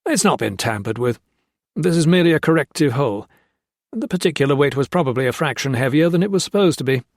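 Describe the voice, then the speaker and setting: A man voicing a defensive, blustering army colonel who snaps sharply and turns to shouting. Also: a little high, lively intonation, fast, dry recording, studio quality, voice pushed up.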